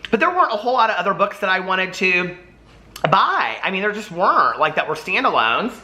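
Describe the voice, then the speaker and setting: Bright-voiced chatty American man. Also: high-pitched, brisk. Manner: chatty, animated, rambling asides. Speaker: book vlogger. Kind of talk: casual chatty vlog talk.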